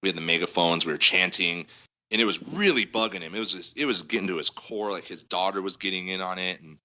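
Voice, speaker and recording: A man with a loud voice, a guest musician in a casual interview, telling a long story with lots of fillers. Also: low voice, fast talker, poor audio. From Denver area. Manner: rambling storytelling, heavy fillers.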